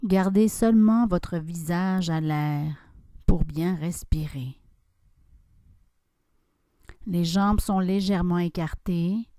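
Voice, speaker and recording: A woman guiding a yoga nidra relaxation, giving calm, soft instructions with long pauses between phrases. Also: fairly low pitch, dry recording, clean studio sound. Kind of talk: guided relaxation meditation.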